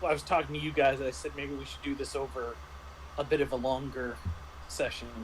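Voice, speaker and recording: A man casually recounting tabletop game sessions as game master, speaking haltingly and often clearing his throat. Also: mid-range voice, flat delivery. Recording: clearly noisy background.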